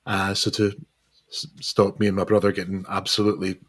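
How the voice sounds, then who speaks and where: A man with a hoarse, recovering voice, chatting as a musician guest in an interview, hesitant and full of restarts. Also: deep voice, little pitch movement, fairly quick. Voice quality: hesitant, lots of fillers and restarts. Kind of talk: casual interview chat.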